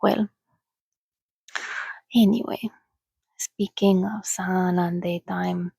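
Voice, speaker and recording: Woman, soft-spoken ASMR host, soothing and often whispered, unhurried delivery with a creaky, close dry sound. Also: lowish voice, fairly even intonation, no room sound. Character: calm, sociable female vampire soothing a companion.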